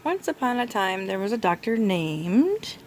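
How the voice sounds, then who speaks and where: A young adult woman reading a children's storybook aloud as a mother does, gently prompting a small child. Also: medium pitch, very animated, measured pace, slight background noise. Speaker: mother reading to her toddler.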